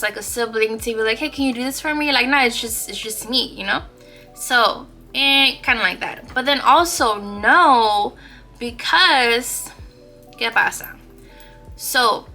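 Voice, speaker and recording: A woman's voice: on the high side, bright, forceful tone. A woman in her twenties hosting her own podcast, chatting casually and playfully with slang, asides and laughs. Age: 20s. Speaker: podcast host. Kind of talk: casual solo podcast chat.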